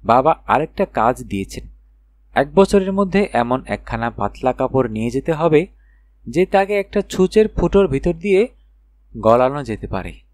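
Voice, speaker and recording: Man as an earnest young storybook prince, animated. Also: medium pitch, normal speed, dry recording, lightly acted. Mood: dejected, explaining.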